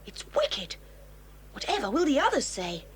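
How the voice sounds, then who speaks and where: Haughty, high-pitched, spiteful schoolgirl. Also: huge pitch swings, moderate pace, slightly rough, heavily acted, rough recording. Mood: nosy disapproval. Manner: prim, haughty, indignant. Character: snobbish, sly, spiteful schoolgirl at boarding school. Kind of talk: 1980s children's audio drama acting.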